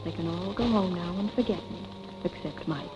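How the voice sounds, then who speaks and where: An actress playing a poised, wry young wife in 1940s film style, cool and arch in manner. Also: female voice, on the low side, a little fast, natural voice. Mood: wry, musing. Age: young adult. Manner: cool, arch, composed irony. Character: wry, poised young wife attending her own funeral. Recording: dull old film soundtrack with music.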